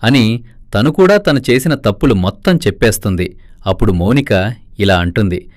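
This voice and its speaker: A man narrating a cartoon family moral story in a lively storytelling way. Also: on the low side, normal speed, no echo, clean studio sound.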